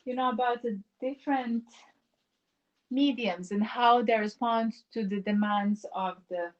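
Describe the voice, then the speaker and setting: A woman's voice: fairly high pitch, highs cut off. Musing woman. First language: Serbo-Croatian. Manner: musing, reflective, wandering sentences with many pauses. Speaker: artist and live streamer. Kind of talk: casual live-stream chat while painting. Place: home in the mountains.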